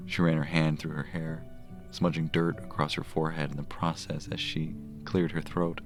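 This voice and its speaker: A man acting a very deep, gravelly gentle cleric. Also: little pitch movement, moderate pace. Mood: shy, tender. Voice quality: deep, gravelly, soft murmur. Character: gentle, smitten male cleric with healing magic. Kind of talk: romantic audio drama, first-person narration and dialogue. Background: music.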